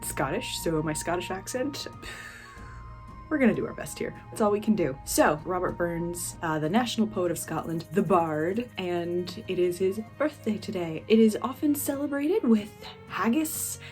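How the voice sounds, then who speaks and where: A woman's voice: on the low side, lively intonation, unhurried, darkish tone, faint music behind. A young American woman hosting her channel, chatting casually in a nervous, self-deprecating way. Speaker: channel host. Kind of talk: casual chat.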